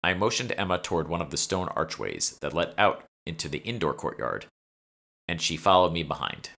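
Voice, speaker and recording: A man's voice: deep voice, very animated, normal speed, gravelly, bright, forceful tone. A man reading scripted first-person fiction as an author narrating his own audiobook.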